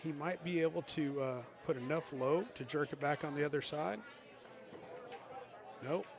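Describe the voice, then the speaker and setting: A man giving excitable live pool commentary as a team captain, coaching the players aloud. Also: fairly high pitch, normal speed. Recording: loud crowd around.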